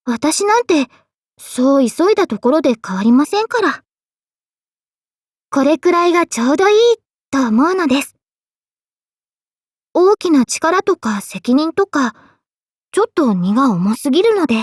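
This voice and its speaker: A woman voicing an earnest, polite young mage heroine in a Japanese video game, with a clear, youthful voice. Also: high-pitched, sing-song, measured pace, long pauses, dry recording, clean studio sound. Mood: polite, modest. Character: young girl mage, tomboyish, modest, earnest, later a solemn king. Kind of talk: Japanese game character voice lines.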